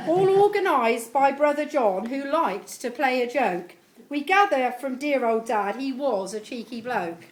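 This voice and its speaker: Woman, middle-aged, slow delivery, animated pitch, reading verse to guests, noisy live recording. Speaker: family member reading a tribute poem at a party. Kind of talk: reading a homemade rhyming poem aloud to guests. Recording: live event recording with clear background noise.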